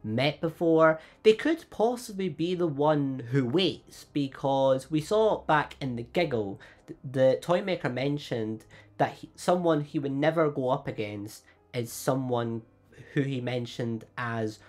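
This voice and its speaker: A man's voice: a little slow, a little mellow, soft background music. A man hosting his own channel, talking through fan theories in an upbeat, chatty way while he has a cold. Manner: upbeat, chatty, often rising in pitch. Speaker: YouTube channel host. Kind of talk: fan theory video commentary.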